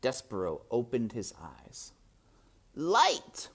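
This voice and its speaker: Very low-voiced, theatrical woman storyteller. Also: sing-song, takes it slowly, slightly rough. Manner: suspenseful, hushed at times, theatrical. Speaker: storybook reader. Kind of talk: dramatic read-aloud narration.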